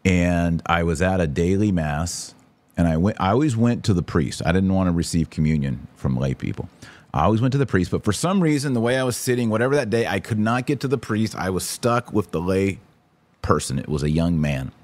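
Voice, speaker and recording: Deep-voiced, very animated American man. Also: brisk. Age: middle-aged. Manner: animated, emphatic, exasperated. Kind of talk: opinion commentary with anecdotes.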